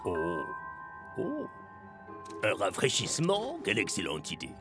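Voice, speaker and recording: A man voicing a proud, vain footballer with a gravelly voice in a cartoon dub. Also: lowish voice, huge pitch swings, unhurried, loud music behind the voice. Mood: dazed, agreeable. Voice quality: creaky, gravelly. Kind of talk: animated cartoon dub acting.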